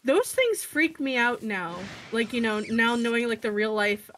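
A woman co-hosting a gaming video in casual chat, with an excitable, giggly manner. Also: on the high side, unhurried. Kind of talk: casual chat over gameplay. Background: music.

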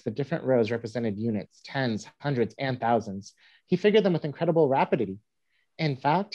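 A man's voice: mid-range voice, dark tone, lo-fi sound, lightly acted. A young man reading the part of a wry frontier newspaperman, giving a florid monologue in a staged play reading. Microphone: video call.

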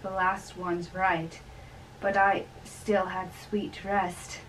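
A woman's voice: on the low side, flat delivery, slow pace, a bit bright. Woman as lovestruck young man, stage play reading, respectful, dismissive, distant camera recording. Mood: light, dismissive. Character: young lovestruck man visiting an older priest. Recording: distant camera mic with faint room noise.

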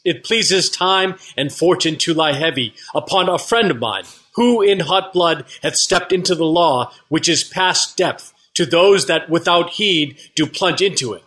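A man's voice: a little high, unhurried, few pauses, fairly bright tone. A man playing an earnest Athenian petitioner in a Shakespeare play reading, speaking formal rhetorical verse. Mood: earnest pleading. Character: earnest, pleading male Athenian captain or petitioner.

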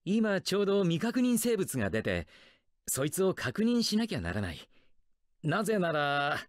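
A man's voice: high voice, huge pitch swings, normal speed, no room sound, clean studio sound. A man playing a stern, protective adult ninja teacher in an anime game, speaking earnestly and firmly. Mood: stern, guarded. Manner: earnest, firm, admonishing. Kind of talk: dramatic anime game acting.

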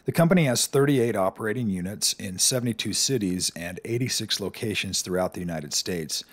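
A man's voice: on the low side, expressive, a little fast, no echo. A man hosting a stock analysis lesson, talking casually through charts on screen, with frequent fillers and restarts.

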